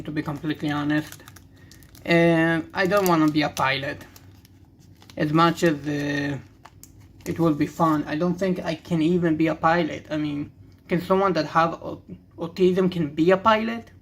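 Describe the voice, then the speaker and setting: A young man with an Israeli accent, a native Hebrew speaker, rambling candidly and haltingly as he hosts a casual video. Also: fairly high pitch, steady tone. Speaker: card-opening video host. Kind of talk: casual rambling commentary while opening cards.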